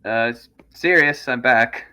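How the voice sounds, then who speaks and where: A man's voice: mid-range voice, unhurried, bright, forceful tone, smooth. A man playing a tabletop roleplaying game, casually narrating his character's actions in the third person.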